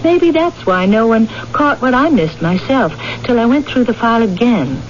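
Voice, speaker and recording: An actress playing a keen, methodical police sergeant in a 1970s radio crime drama, businesslike in delivery. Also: female voice, fairly high pitch, lively intonation, moderate pace, natural voice. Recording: muffled old radio, faint noise.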